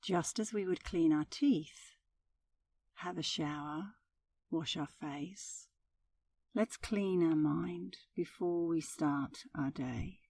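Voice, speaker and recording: A woman leading a guided meditation as an instructor, with a soothing, gentle delivery. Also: on the low side, slow, gravelly, distant mic.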